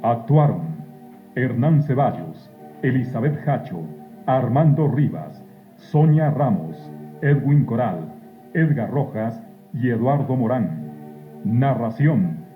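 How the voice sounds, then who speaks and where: A man reading scripted radio drama credits as an announcer, in a declamatory way. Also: medium pitch. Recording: dull lo-fi radio recording, music behind.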